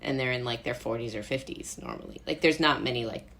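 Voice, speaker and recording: A woman's voice: very deep voice, wildly swooping pitch, fast, creaky, fairly bright tone, slight background noise. A young American woman chatting casually as a podcast guest, rambling through anecdotes and quoting imagined remarks. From Brooklyn, New York, USA. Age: young adult. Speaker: podcast guest, playwright and actor. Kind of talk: casual chat.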